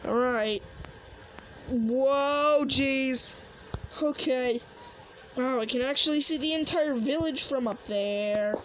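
A woman's voice: high voice, slow pace. A woman improvising casual roleplay commentary while gaming, hesitant and muttering to herself, on a noisy home recording. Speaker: player in a game roleplay video.

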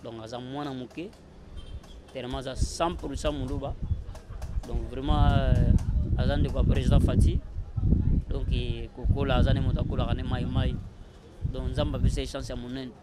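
A man's voice: mid-range voice, flat delivery, normal speed, long pauses. A man in his thirties with a Congolese accent, talking casually as an interview guest. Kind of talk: casual interview talk, switching languages. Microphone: handheld interview microphone. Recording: noisy outdoor handheld-mic recording.

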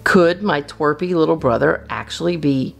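A woman reading a children's storybook aloud as a storyteller, in an expressive, animated style. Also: deep voice, moderate pace, vocal fry, soft background music. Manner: expressive, acts out dialogue. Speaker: storyteller, channel host.

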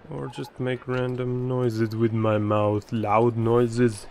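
A man's voice: mid-range voice, little pitch movement, slow, a little mellow, slight background noise. A man thinking aloud in casual gameplay commentary, rambling and playful, recorded at home. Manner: rambling, playful, breaks into nonsense sounds. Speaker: let's play creator.

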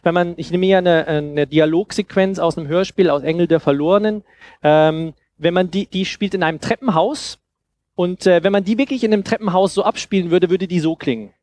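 A man in his thirties giving an informal, explanatory workshop talk into a headset mic, often halting and repeating words. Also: sits high, a little mellow, no room sound. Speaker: speaker giving a talk on sound design.

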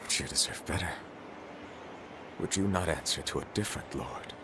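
An actor playing a cold, ambitious warrior lord in a video game, commanding and deliberate in his speech. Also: very deep voice, background noise. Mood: haughty, taunting. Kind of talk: dramatic video game voice acting. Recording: loud music over the voice.

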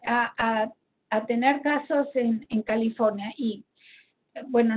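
A woman from Argentina with an Argentine Spanish accent explains slides as a health professional in a seminar, hesitantly searching for words, over an online call connection. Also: sits high. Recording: tinny phone-like connection.

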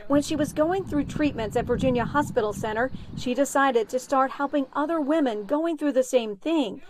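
A woman's voice: high-pitched, moderate pace, a little hiss or hum, poor audio. A woman news reporter reading scripted narration for a television report.

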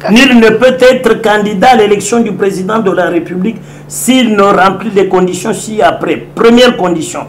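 A middle-aged man with a Congolese French accent argues his case as a guest lawyer, pressing and repeating his points. His voice sits high for a man. A faint hum lingers under his speech. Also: rough recording. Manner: emphatic, repeats points, mixes French and Lingala. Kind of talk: animated political interview. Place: indoor office with bookshelves.

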